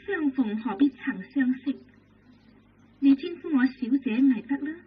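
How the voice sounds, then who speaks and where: 高音娇媚的神秘少妇. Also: female voice, sits high, animated, takes it slowly. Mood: gracious. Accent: Hong Kong Cantonese. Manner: soft, coquettish, genteel. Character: alluring mysterious young noblewoman of twenty-five. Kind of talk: vintage radio drama acting. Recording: tinny old radio broadcast with hiss.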